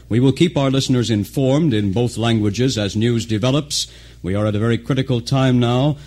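A male station announcer with a mid-pitched voice presents live news. His delivery is formal and a little wordy. It sounds like a 1960s shortwave broadcast. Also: a little mellow.

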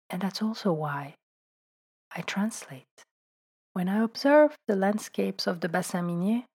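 A woman, a writer and translator, reading her own reflective essay in a calm, thoughtful way, sometimes dropping to a soft whisper. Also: medium pitch, breathy edge. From Belgium. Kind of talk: reflective personal essay narration.

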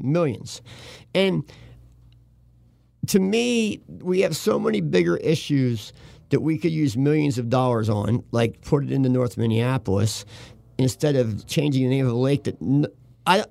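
A man's voice: mid-range voice, vocal fry, darkish tone, dry recording. An older man hosting a casual talk show, opinionated and rambling, his voice worn from talking. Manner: rambling, opinionated, restarts often. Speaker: host.

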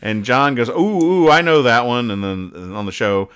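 A man's voice: mid-range voice, expressive, normal speed, a bit bright, clear, resonant tone. A man hosting casual banter as he reads out comic strips, with a rambling, joking, mock-serious manner. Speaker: podcast host.

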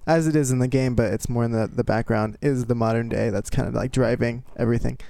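Mellow, creaky-voiced man chatting. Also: medium pitch, steady tone, quick pace, no room sound. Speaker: podcast panelist. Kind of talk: casual analytical chat.

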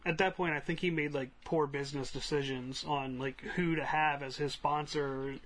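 A man's voice: fairly high pitch, fairly even intonation, normal speed, fairly bright tone. A man co-hosting a podcast and casually explaining his view of a film. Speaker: podcast co-host.

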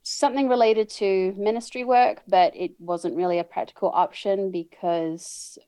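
A woman's voice: mid-range voice, steady tone, moderate pace, mellow, clean tone, dry recording. A woman in her thirties from New Zealand with a New Zealand accent, chatting as a podcast guest, often laughing and restarting her sentences. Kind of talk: casual interview chat. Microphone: video call.

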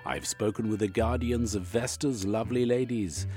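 A man voicing an anxious, overwrought gentleman in an audiobook, with a dramatic, exclamatory way of talking. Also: lowish voice, normal speed, over music, pitched above the performer's own voice, close to an everyday voice. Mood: troubled, earnest. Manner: dramatic, exclamatory, with trailing 'eh?'. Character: Velvin, anxious, overwrought gentleman confessing his dreams. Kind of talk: audiobook character acting.

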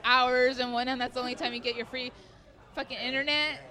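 A woman's voice: sits high, fairly even intonation, a little fast, bright, forceful tone. A woman around thirty chatting casually on a podcast, rambling and using lots of "like" fillers. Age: 30s. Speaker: podcast co-host. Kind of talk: casual chat.

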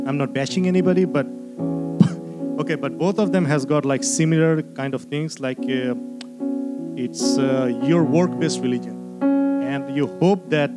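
A man from Bangladesh telling his testimony in church, casual and earnest with a light joking aside. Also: medium pitch. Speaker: church member giving testimony.

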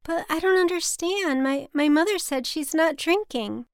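A woman's voice: high voice, normal speed, dry recording, studio quality, pitched above the performer's own voice. A woman voicing an anxious, dutiful adult daughter who pleads and placates, in an audiobook story. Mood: pleading.

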